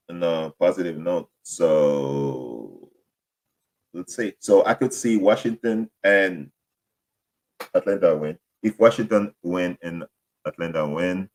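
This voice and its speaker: Deep-voiced man, French and Haitian Creole first languages. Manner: rambling, self-correcting, apologetic. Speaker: podcast host. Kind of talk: casual solo sports talk.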